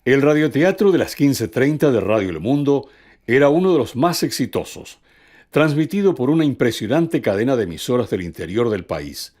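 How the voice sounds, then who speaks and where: A man's voice: medium pitch, expressive, normal speed, darkish tone. A man delivering scripted narration as the narrator of a documentary.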